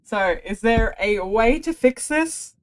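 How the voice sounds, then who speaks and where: A woman streamer with a stuffy nose from a cold, chatting and joking casually while playing, with a low, slightly raspy voice for a woman. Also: expressive, takes it slowly, no echo. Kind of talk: casual gameplay commentary.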